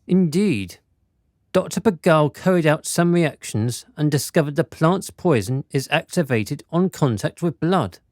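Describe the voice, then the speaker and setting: A precise, courteous doctor from a period radio play, acted by a man. His voice sits fairly high and swings widely in melody, while the phrasing stays formal and deliberate. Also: lively intonation, darkish tone, no room sound, studio quality. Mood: earnest, reassuring. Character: courteous, precise physician reporting to a lord. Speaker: actor in a radio drama. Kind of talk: theatrical period radio drama acting.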